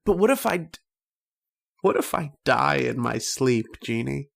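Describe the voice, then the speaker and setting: Man acting tormented dreamer, old-time radio drama, creaky voice, unhurried halting delivery, brooding, poetic. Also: mid-range voice, big pitch swings, a bit bright, exaggerated voice. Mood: anguished, doubtful. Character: tormented, homesick man named Troy trapped in a dream.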